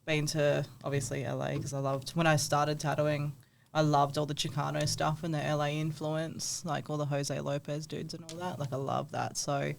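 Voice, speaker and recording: A man's voice: on the high side, level tone, normal speed, vocal fry, slight background noise. A man, a tattoo artist guest, chatting casually and swearing freely, laughing often. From Australia. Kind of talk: casual podcast chat.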